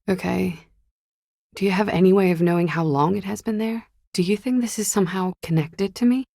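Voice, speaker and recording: An actress playing a guarded, world-weary young woman in a video game, speaking in a languid, wry, naturalistic way with a gravelly voice. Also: on the low side, warm tone, no echo, studio quality, heavily acted. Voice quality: fry-laden, gravelly.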